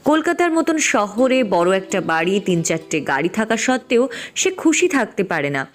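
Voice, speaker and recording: Animated woman narrating a cartoon story. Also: mid-range voice, moderate pace.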